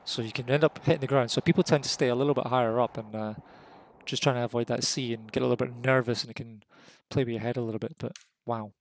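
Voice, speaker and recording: A Scottish man giving live, casual, excitable commentary on a competitive flight game match, voice jumping up at the big moments. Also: mid-range voice, quick pace. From Scotland. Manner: excitable, rises sharply at kills; casual and jokey.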